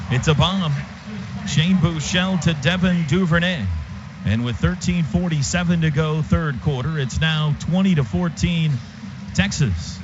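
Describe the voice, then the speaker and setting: A man calling a live football game as a radio play-by-play announcer, in clipped, rhythmic phrases. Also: fairly high pitch. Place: outdoor stadium radio booth. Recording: muffled radio feed, loud stadium noise.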